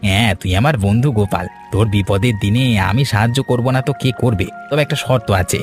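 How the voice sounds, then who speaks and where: A man's voice: fairly low pitch, brisk, soft background music, close to an everyday voice. A man voicing a scheming villager who poses as a helpful friend in a cartoon folk tale, with a sly, overfamiliar, wheedling manner. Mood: slyly persuasive. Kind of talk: cartoon fairy-tale dialogue.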